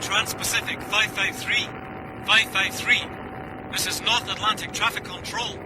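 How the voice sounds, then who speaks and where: An actor playing an air traffic controller in a radio drama, clipped, formal and urgent, over a radio link. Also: male voice, high voice, fairly even intonation, a little slow. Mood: urgent, official. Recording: noisy aircraft radio transmission, engine drone.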